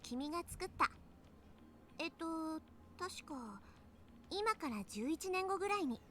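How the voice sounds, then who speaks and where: A woman's voice: very high voice, big pitch swings, normal speed, fairly bright tone, slight background noise, full character voice. A woman playing a breezy young time traveler from the future in a visual novel game. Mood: explaining. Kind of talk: Japanese visual novel voice acting.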